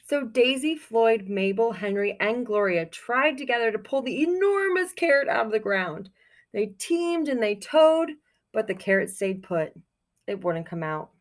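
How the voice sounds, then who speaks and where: A woman reading a picture book aloud to young children like a teacher, expressive and encouraging, pausing to put questions to her listeners. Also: on the high side. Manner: expressive, encouraging, exclaims softly over pictures.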